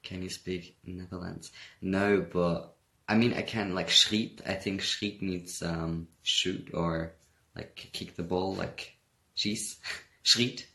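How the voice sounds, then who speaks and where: A man's voice: sits low, steady tone, takes it slowly, vocal fry, bright, forceful tone. A young man with a German accent, chatting casually on a phone livestream and reading out comments in a laid-back, rambling way. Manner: laid-back, rambling, reads comments aloud, laughs often. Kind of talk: casual chat.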